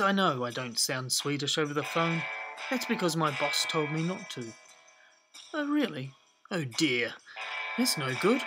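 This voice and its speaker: A polite male secretary answering the phone, voiced by a performer in a plain, mid-pitched voice, chatty and sympathetic. Music plays under the comedy skit. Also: lightly acted, low-quality recording. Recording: music playing behind voice.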